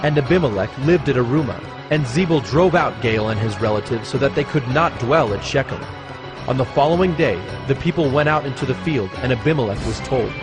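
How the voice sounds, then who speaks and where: A man with a mid-pitched voice narrates dramatized scripture from a script. Music plays clearly behind him.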